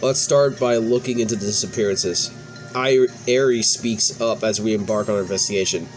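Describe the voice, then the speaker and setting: A man's voice: lowish voice, moderate pace. Man reading game story over music. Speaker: host of a let's play channel. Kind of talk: reading game dialogue aloud with casual commentary. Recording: music playing behind the voice.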